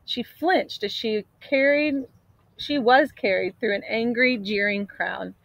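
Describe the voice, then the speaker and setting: Female storybook reader, 30s, slightly high voice, expressive and dramatic, dry outdoor sound. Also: takes it slowly, vocal fry, no echo, lo-fi sound. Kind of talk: read-aloud storytelling from a picture book. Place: outdoors in an open grassy area.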